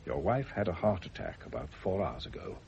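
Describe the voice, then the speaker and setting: An actor in a radio drama playing a grave, world-weary family doctor, measured, formal and authoritative. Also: male voice, deep voice, sing-song, a little mellow. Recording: muffled, noisy old radio recording.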